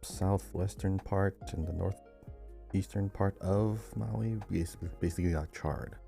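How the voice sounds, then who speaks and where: A man's voice: sits low, slow pace, creaky, dark tone, faint music behind. A man from Hawaii co-hosting a podcast in casual, conversational talk. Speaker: podcast co-host.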